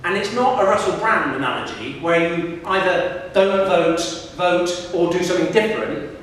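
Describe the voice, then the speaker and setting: A middle-aged professor gives a lively public lecture, putting questions to the students in front of him. His voice sits fairly high for a man. He is heard from a distance in a large hall with long, booming reverb. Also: moderate pace, slight background noise. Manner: lively, engages the audience with questions. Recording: echoey hall recorded from far off.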